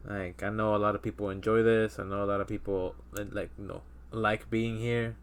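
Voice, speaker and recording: A man's voice: fairly low pitch, little pitch movement, a little fast, clean tone. A man in his thirties hosting a casual podcast chat, rambling with lots of fillers and restarts. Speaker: podcast host.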